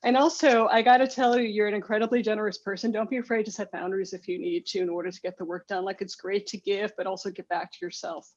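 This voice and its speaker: A woman's voice: mid-range voice, level tone, quick pace, hardly any pauses. Dull-sounding video call, affirming woman reading tarot. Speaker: tarot reader.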